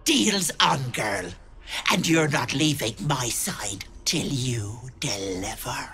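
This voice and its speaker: A woman's voice: very deep voice, lively intonation, slow pace, a loud crowd, full character voice. A woman playing a vicious, wheedling old hag in a video game, theatrical and taunting with mock-sweet menace. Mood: grudging, scornful. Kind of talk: video game character acting.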